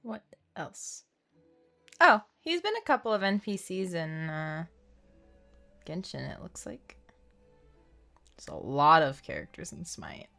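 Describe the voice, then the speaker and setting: A woman streaming a game in a fairly low voice. She takes her time as she chats casually and reacts to the play. Soft music runs behind her. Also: very animated, slow, gravelly, pauses often. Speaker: livestreamer playing a game. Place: indoor livestream setup, music behind.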